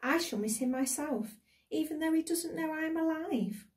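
A woman's voice: high voice, normal speed, darkish tone, recorded from a distance, voice pushed up, close to an everyday voice. Woman reading as a wistful, musing young girl.

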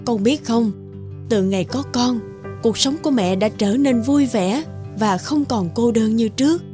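Woman playing a doting old village mom in a cartoon, highish voice, fussy and selfless, faint music. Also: measured pace, dark tone, lightly acted. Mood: tender. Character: kind, lonely, doting older peasant mother. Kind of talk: animated fairy tale voice acting.

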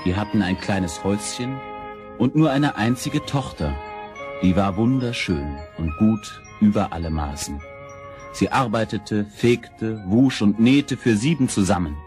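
A man's voice: on the low side, expressive, unhurried, music in the background. A man narrating fairy tales for children as a scripted storyteller.

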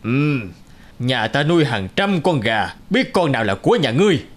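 Haughty, animated cartoon mandarin. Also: male voice, mid-range voice, moderate pace. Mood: self-important. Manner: haughty, self-satisfied. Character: pompous, greedy middle-aged male mandarin. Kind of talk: cartoon folk tale acting.

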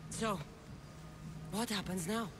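A woman's voice: medium pitch, slow, noisy background, lightly acted. An actress playing a sceptical young female mercenary of ancient Greece in a video game, speaking in a direct, guarded, questioning way. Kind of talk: dramatic video game cutscene acting.

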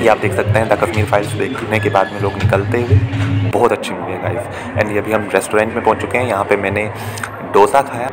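A young man from India casually narrating a vlog as he walks through a shopping mall, talking to a phone camera. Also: lowish voice. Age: young adult. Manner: chatty tour-guide talk mixing in English words. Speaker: vlogger. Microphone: phone camera held at arm's length.

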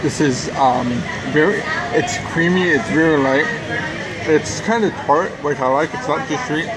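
A man's voice: on the high side, a little slow. A man in his thirties giving an enthusiastic, casual food review to camera, talking between bites. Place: outdoors at a theme park table. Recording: noisy outdoor recording with background hum.

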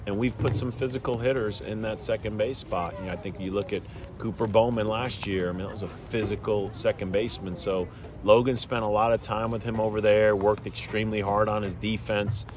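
A man in his fifties, a head baseball coach, answering postgame questions in a measured, plainspoken way with many fillers and restarts, outdoors at a ballpark at night. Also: lowish voice, steady tone. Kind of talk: postgame media interview. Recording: outdoor background noise.

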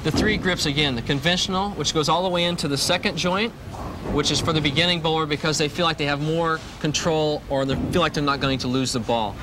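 Guy teaching bowling at the lanes, kinda high voice, lapel mic, hissy old tape. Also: fairly quick. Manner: explanatory, repeats key points. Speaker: pro bowler instructor. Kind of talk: instructional demonstration. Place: indoor bowling alley.

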